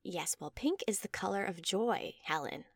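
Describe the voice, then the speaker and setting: A woman's voice: mid-range voice, lively intonation, normal speed, dry recording. A woman voicing a smug, preachy male commune leader in a story, speaking with insistent cheer. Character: Bill, smug tall male leader of a joy-obsessed commune. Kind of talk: scripted story dialogue.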